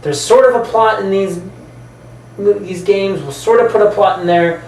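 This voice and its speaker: A man co-hosting a casual discussion, arguing his opinions in a halting, conversational way and rephrasing as he goes. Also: sits high, a little mellow. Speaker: podcast co-host. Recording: roomy, distant mic, background noise.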